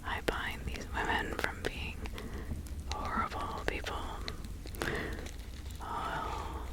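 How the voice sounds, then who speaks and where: A woman's voice: takes it slowly, close to an everyday voice. Woman playing a whispering, fussy, kindly witch healer. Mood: solemn incanting. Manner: soothing, rambling, muttering asides. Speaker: ASMR roleplay performer. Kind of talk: whispered ASMR roleplay.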